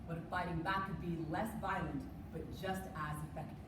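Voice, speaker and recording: A woman's voice: on the low side, fairly even intonation, a little fast. A young woman performing reader's theater with an impassioned, declamatory reading. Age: young adult. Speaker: college forensics team performer. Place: stage performance space. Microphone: distant room mic. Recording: echoey, distant, noisy live room.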